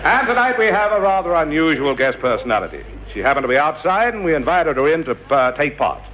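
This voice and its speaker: High-pitched radio panel chairman. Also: sing-song, quick pace. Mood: polite, flustered. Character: polite, slightly flustered male panel show chairman. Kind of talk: old radio comedy presenting. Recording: noisy old radio recording.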